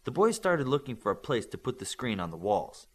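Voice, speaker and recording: Man, audiobook narration, animated intonation, medium register, story reading with acted dialogue. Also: medium pitch, a little fast. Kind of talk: scripted narration of a novel, acting out the dialogue.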